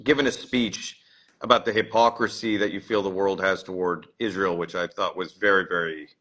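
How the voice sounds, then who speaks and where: A man's voice: deep voice, fairly even intonation, normal speed, bright, forceful tone. A man hosting a casual livestream interview, chatty and full of fillers, often repeating his words. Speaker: livestream host.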